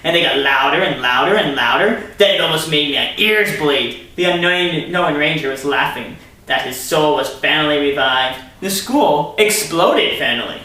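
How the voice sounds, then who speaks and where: A man's voice: on the high side, normal speed, few pauses, sharp tone. A man reading a story aloud theatrically, breaking in with sarcastic asides as commentary. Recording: very echoey room, distant mic.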